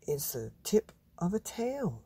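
A man as a stubborn, husky-voiced little boy. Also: high voice, very animated, slow pace, dark tone, pitched above the performer's own voice, full character voice. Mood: matter-of-fact. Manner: short, matter-of-fact replies. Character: stubborn, matter-of-fact young boy who loves drawing.

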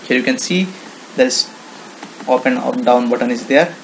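A man with an Indian accent demonstrating software in an informal screen-recorded tutorial, with non-native English phrasing and frequent repetition. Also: mid-range voice, moderate pace, gravelly. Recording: noisy home-made screen recording.

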